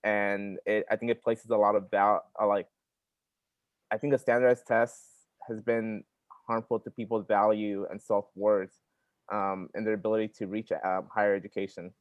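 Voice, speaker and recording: A man whose first language is Spanish, sharing personal experiences earnestly in a workshop over a video call, with halting restarts. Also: on the low side, level tone, no echo, muffled recording. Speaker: workshop participant, credential student.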